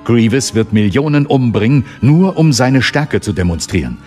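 An actor playing a serious, resolute Jedi master, stern and questioning, in a radio drama. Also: male voice, medium pitch, animated, normal speed, darkish tone, soft background music, close to an everyday voice.

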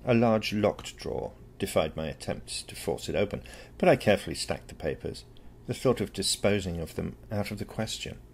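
Guy reading a story, deep raspy voice, scripted narration. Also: expressive, moderate pace, few pauses. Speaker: story reader.

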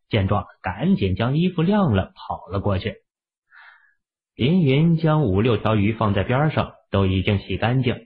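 Lively low-voiced male narrator. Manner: expressive storytelling. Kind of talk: audiobook narration. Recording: tinny phone-like audio.

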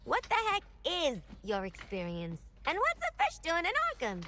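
A woman's voice: high-pitched, huge pitch swings, moderate pace, sharp tone, slight background noise, heavily acted. An actress playing a brash, manic female supervillain prisoner in a video game, sassy and mocking, with an American accent. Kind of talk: video game cutscene acting.

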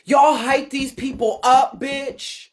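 Woman, American accent, high pitch, blunt, emphatic, sweary, repetitive, off-the-cuff live rant. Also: fairly even intonation, takes it slowly, a little mellow. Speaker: live streamer and ballroom scene commentator. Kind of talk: unscripted live rant answering viewer comments.

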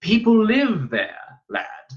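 A man voicing a shrewd, gruff old adviser with a probing, wry and confiding manner. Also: high voice, wildly swooping pitch, takes it slowly, muffled recording, voice pushed up, exaggerated voice.

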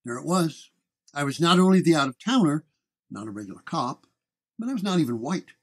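Male actor as hard-boiled 1930s detective narrator, world-weary and terse, medium-high male voice, radio drama. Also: expressive, brisk. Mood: wary.

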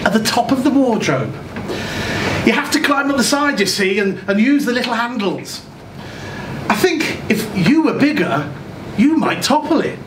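A man's voice: extremely high pitch, normal speed. Man reading as a child, roomy and distant. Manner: animated, hesitant, childlike, with stammered repeats. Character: naive, chatty, frightened young child at a party. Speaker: reader at a live short story event. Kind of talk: dramatic reading of a short story in a child's voice. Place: small indoor event room. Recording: roomy, distant camera recording with loud noise.

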